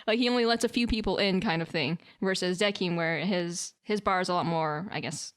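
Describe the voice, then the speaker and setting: A woman's voice: lowish voice, brisk. Giggly, rambling woman podcast co-host. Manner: rambling with frequent fillers and laughter. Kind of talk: casual anime discussion.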